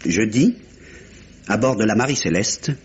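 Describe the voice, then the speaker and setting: Laconic police inspector, radio drama, deliberate, deadpan, lowish pitch, faint hiss, dull sound. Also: male voice. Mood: dogged, literal. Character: stolid, laconic male police inspector, dutiful and literal. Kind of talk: 1950s French radio mystery acting. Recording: muffled, lightly hissy old radio recording.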